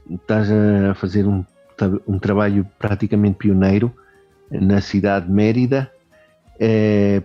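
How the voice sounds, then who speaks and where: A male host asking interview questions in a measured, courteous way. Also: fairly low pitch, dull audio.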